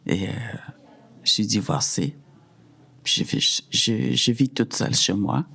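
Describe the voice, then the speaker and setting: A middle-aged woman, giving personal testimony in a halting way, often repeating words. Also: a bass voice, lively intonation, gravelly, a bit bright. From Cameroon. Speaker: podcast guest.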